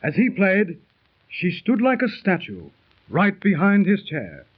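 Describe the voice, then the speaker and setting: A man with a high, lively voice recounts a grim tale in measured, dramatic tones. His voice sounds thin and tinny, like an old radio transcription. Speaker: narrator within the drama. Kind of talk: 1930s radio drama acting.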